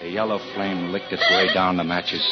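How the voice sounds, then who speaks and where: Actor as low-voiced haunted husband, old radio. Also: male voice, steady tone, moderate pace, natural voice. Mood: brooding. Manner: dramatic, intense, brooding. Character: troubled husband, a fire inspector, haunted and desperate. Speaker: actor and first-person narrator. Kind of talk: 1940s radio thriller acting. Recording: muffled old radio recording with music.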